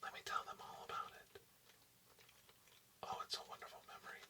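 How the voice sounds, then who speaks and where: A man performing an ASMR role-play as an eerie, softly menacing technician, in a breathy whisper with lingering, theatrical, repetitive phrasing. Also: brisk, far from the mic. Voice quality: breathy whisper mixed with soft low speech. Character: eerie male memory removal technician, softly menacing, nostalgic. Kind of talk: ASMR role-play, intimate dramatic monologue.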